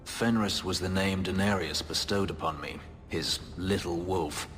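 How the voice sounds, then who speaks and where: A brooding elf warrior from a fantasy game, once a slave and still guarded. His voice is deep and low, his phrasing formal and dryly understated. Long reverb surrounds him, with music underneath. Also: a bit bright. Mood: bitter, pained. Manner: measured, formal, wryly understated. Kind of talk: dramatic video game acting.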